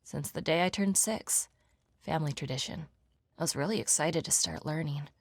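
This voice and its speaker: A woman playing a guarded, blunt young pianist in a video game, deadpan and matter-of-fact. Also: deep voice, moderate pace, a little hiss or hum. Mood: wistful, flat. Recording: music behind the voice.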